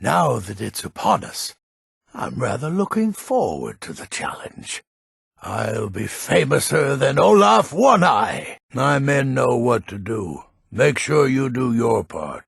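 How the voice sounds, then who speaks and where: A gruff old Nordic man from a fantasy game, proud and grumpy. His voice is very husky and takes its time, moving up and down with theatrical emphasis. The sound is close and dry. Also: mid-range voice, big pitch swings, takes it slowly, fairly bright tone, no room sound, heavily acted. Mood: gruff, resolute. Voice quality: gravelly, raspy. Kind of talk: video game character dialogue.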